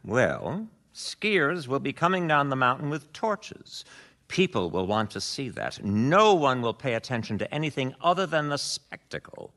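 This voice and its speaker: An actor playing a cagey, deliberate schemer in a radio mystery drama. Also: male voice, mid-range voice, big pitch swings, a bit bright. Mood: sly, hedging.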